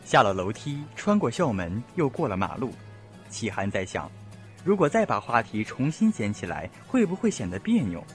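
A man's voice: mid-range voice, big pitch swings, normal speed, faint music behind, close to an everyday voice. A man playing the storyteller, giving scripted narration in a radio drama. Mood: narrative. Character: male storyteller of a school romance.

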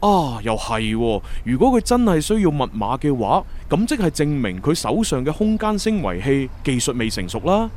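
An actor playing an earnest, analytical young time agent in a Cantonese sci-fi radio drama, with a Hong Kong accent. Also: male voice, medium pitch, big pitch swings, moderate pace, few pauses, darkish tone, a little hiss or hum, lightly acted. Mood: thoughtful, animated.

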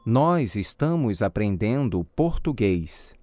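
Slow, deliberate man reading drill answers. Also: on the low side, big pitch swings, darkish tone, slight background noise. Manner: slow, deliberate, clearly enunciated. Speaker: model answer speaker in a language course. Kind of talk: scripted language drill answers.

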